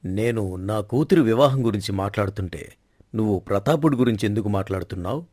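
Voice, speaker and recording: A man's voice: on the low side, animated, fast talker, darkish tone, no echo, studio quality. A man acting a proud, commanding middle-aged king in a story drama, speaking in a formal, stately way. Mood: commanding, decisive. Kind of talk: scripted Telugu moral-story dramatization.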